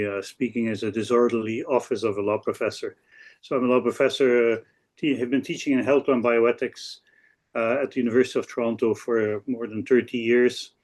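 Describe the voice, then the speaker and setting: Halting Belgian Dutch-accented man in his sixties. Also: mid-range voice, a little mellow. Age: 60s. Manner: halting, with frequent hesitations and repeats. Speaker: law professor on a panel. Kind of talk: introducing own work at a webinar. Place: office.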